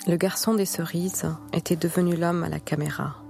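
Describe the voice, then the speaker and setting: A woman giving a slow, intimate narration of a documentary film. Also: fairly low pitch, steady tone, gravelly, a little mellow. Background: music.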